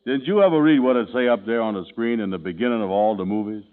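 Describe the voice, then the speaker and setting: A man plays an easygoing sidekick with a lowish, drawling voice. He talks in the relaxed manner of 1940s radio comedy. The sound is thin and tinny, like an old radio show. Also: fast, clean tone, natural voice.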